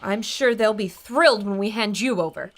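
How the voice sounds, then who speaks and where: A woman's voice: medium pitch, normal speed, natural voice. An actress playing a female radio reporter who narrates the adventure to listeners in a vivid, descriptive way.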